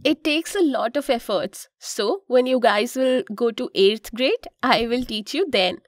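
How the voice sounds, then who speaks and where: Woman voicing a high-pitched patient Indian cartoon mom. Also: a little slow, no room sound, clean studio sound, lightly acted. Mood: instructive. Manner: patient, explanatory, gently cautioning. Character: kind, instructive Indian mother of two. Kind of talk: children's cartoon dialogue.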